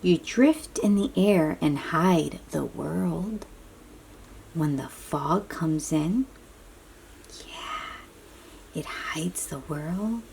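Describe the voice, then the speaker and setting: A woman with a soft, breathy voice reads a picture book aloud to young children, gently explaining and chatting to them as she goes. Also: deep voice, animated, a little hiss or hum. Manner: slow, child-directed, encouraging questions and affirmations. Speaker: read-aloud storybook host.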